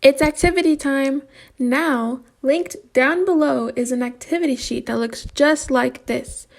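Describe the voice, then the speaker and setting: A woman's voice: sits high, measured pace, gravelly. A woman presenting an instructional lesson for young children as their teacher.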